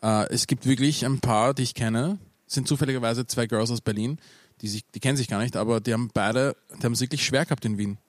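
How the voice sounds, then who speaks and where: Male co-host, teasing and slangy, mid pitch, dry recording. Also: fairly even intonation, fast, no room sound. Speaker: podcast co-host. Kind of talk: casual podcast chat.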